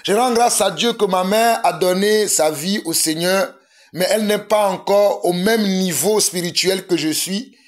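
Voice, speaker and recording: A man's voice: high-pitched, measured pace. A man from Togo, preaching a fervent sermon that mixes prayer with urgent exhortation and repetition. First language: a Togolese language.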